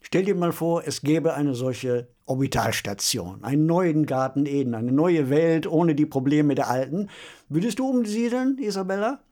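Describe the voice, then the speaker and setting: A man's voice: fairly high pitch, lively intonation, moderate pace, warm tone. Man hosting a conversational podcast. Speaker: podcast co-host.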